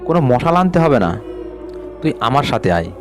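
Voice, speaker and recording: A man voicing a ghost that poses as an elder brother and lures a young man away in the dark, calling slowly and insistently. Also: medium pitch, big pitch swings, over music, natural voice. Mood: luring. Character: ghost posing as an elder brother, luring, cold.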